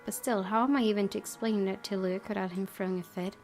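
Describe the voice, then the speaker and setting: A woman with a mid-pitched voice does let's play commentary and reads story text aloud. Game music is clearly audible behind her. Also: steady tone, quick pace. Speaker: gaming video host.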